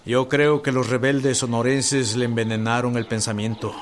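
A man's voice: mid-range voice, level tone, moderate pace, slight background noise, close to an everyday voice. A man acting in a radio drama as a messenger reporting to his general. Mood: grave.

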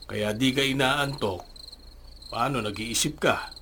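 A man acting a worried, gently scolding middle-aged father in a radio drama. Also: medium pitch, unhurried, a little hiss or hum. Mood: concerned, scolding. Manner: concerned, parental questioning. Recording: music playing behind the voice.

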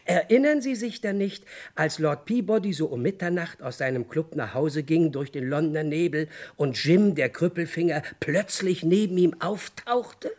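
A man's voice: fairly high pitch, normal speed, hardly any pauses, a little mellow, close to an everyday voice. An actor playing a pedantic, exasperated amateur sleuth in a comic radio play, insisting on his theories. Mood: insistent, exasperated. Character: insistent, know-it-all amateur sleuth Herr Mieder. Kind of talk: comic radio crime drama acting.

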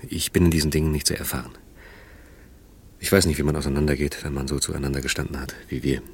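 An actor playing a hesitant, guilt-ridden man in a naturalistic radio drama, speaking in halting, apologetic phrases. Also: rumbling low, flat delivery, breathy edge, full character voice. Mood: awkward, earnest.

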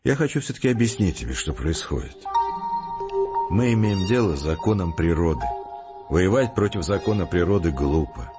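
A resolute, philosophical scientist with a low voice, reasoning patiently and deliberately. The audio drama recording is slightly muffled, with prominent music behind him. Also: male voice, animated. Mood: earnest, reasoning. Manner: deliberate, explanatory, earnest.

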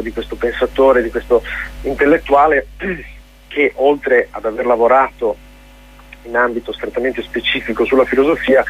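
A man with an Italian accent answers in an informal radio interview as a festival director, speaking haltingly and often repeating words as he searches for phrasing. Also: medium pitch, husky. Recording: dull, lo-fi radio interview audio.

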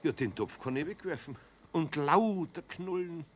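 Older male master craftsman for a radio play, Bavarian accent, exasperated, animated delivery, faint noise. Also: on the high side, unhurried. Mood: disgusted. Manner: exasperated, homely. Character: grumbling but good-hearted older male master craftsman. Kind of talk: 1970s children's radio play acting.

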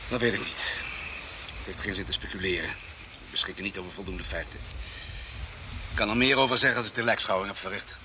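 A man's voice: on the low side, brisk, long pauses, noisy background, close to an everyday voice. A man acting in a radio drama as a matter-of-fact police pathologist with a precise, analytical manner. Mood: measured, firm. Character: matter-of-fact male police pathologist, coolly reconstructing murders.

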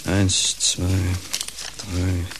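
Radio play role: world-worn older man, deep creaky voice, blunt delivery, slightly hissy recording. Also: completely flat delivery, drawn-out words. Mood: dry, gruff. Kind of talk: naturalistic German radio play acting.

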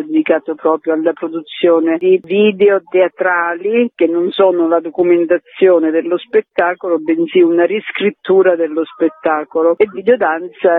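A man speaking as an interview guest over the phone, explaining precisely and defining his terms. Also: fairly high pitch. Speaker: interview guest describing an exhibition. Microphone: telephone. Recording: thin band-limited phone line.

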